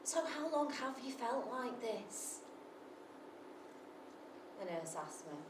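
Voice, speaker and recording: A raw, volatile mother with bipolar disorder, played by an actress on stage, swearing freely. Her voice sits a little high and carries a live room's echo. The mic is set well back, and a steady hum of ambience sits behind her. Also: slow pace, distant mic. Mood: despairing. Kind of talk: theatrical monologue. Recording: echoey stage recorded from afar.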